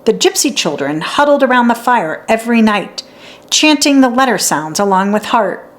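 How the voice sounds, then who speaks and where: A woman narrating a children's story in an expressive read-aloud style for young listeners. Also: on the high side, moderate pace. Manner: acts out dialogue and sounds out letters.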